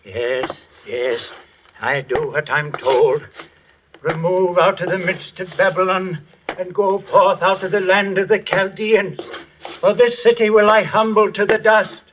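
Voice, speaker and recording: High-voiced, fanatical old handyman. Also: fairly even intonation, unhurried, slight background noise. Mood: meek, sly, then prophetic. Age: older. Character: fanatical, doom-preaching old male handyman. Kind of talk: 1940s radio suspense acting. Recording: muffled old radio recording.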